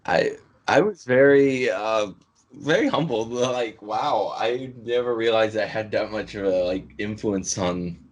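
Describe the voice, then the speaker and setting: Young man, halting reminiscing chat. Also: on the low side. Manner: halting, many fillers, chuckling. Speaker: fan film creator. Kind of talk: casual chat on a video call.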